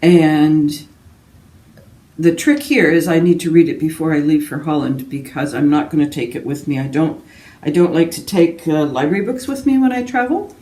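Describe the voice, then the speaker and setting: A woman's voice: sits low, moderate pace, mellow. A woman chatting casually about books as a vlogger, rambling and interrupting herself with asides. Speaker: book vlogger. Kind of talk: casual book chat to camera. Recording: roomy echo, distant from mic.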